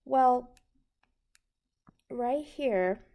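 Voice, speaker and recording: A woman's voice: fairly high pitch, extremely slow, warm tone. A woman instructor patiently explaining a tutorial step by step, often checking that the listener is following. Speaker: accounting instructor.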